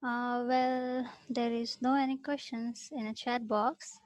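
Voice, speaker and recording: A fairly high-voiced woman hosts a webinar and introduces speakers politely and formally. Her voice comes through dry, with no room sound. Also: flat delivery, slow, dry recording. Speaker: webinar host. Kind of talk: hosting a webinar. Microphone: video call.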